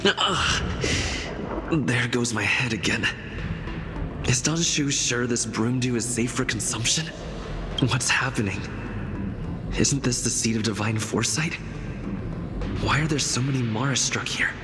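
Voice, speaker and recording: An actor playing a wary, earnest young traveler in a video game, thinking aloud to himself. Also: male voice, mid-range voice, a little slow, pauses often, music in the background, lightly acted. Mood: alarmed.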